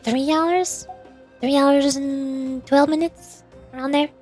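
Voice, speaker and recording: Very high, playful cutesy woman streamer. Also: fairly even intonation, slow, a little mellow, soft background music. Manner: playful, cutesy little sound words. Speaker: VTuber game streamer. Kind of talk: livestream gameplay chat with voiced-aloud dialogue.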